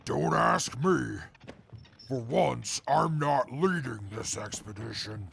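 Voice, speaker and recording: A man's voice: medium pitch, slow pace, vocal fry, fairly bright tone, slight background noise, full character voice. A man playing a smug, spiteful wasteland veteran with a haughty, formal, mocking manner in an audio drama. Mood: haughty, curt. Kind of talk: post-apocalyptic audio drama acting.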